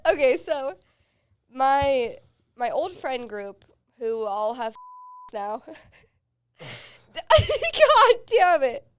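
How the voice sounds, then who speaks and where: High-voiced young woman telling stories. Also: sing-song, extremely slow, pauses often, a little hiss or hum. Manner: chatty storytelling with frequent laughs and swearing. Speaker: podcast host. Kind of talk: casual chat.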